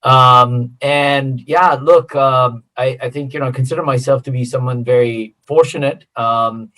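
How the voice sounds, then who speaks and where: A middle-aged man from the United States, a consultant guest answering interview questions in a relaxed, friendly way with many fillers. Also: medium pitch, a little slow.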